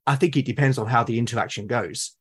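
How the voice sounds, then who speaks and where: Husky British man as podcast guest. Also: medium pitch, quick pace. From Britain. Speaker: podcast guest, game master and podcast creator. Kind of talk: casual interview conversation.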